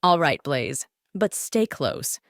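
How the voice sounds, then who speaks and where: A woman's voice: sits low, big pitch swings, slow pace, no room sound, clean studio sound, exaggerated voice. A woman voicing an earnest, brave male detective car in a children's storybook. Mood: serious.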